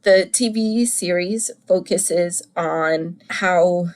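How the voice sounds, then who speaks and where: A woman's voice: on the low side, extremely slow. Chatty, slightly congested woman. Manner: chatty, animated, laughs and restarts often. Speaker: podcast host. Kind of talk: casual solo podcast review.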